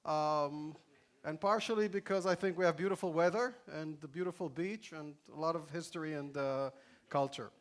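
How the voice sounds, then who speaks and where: A man's voice: fairly high pitch, normal speed. Middle-aged man presenting at a podium. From Israel. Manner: conversational, with frequent "uh" and "um" fillers and restarts. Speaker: company executive presenting at a press conference. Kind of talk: press conference presentation. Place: large hall with windows, live audience.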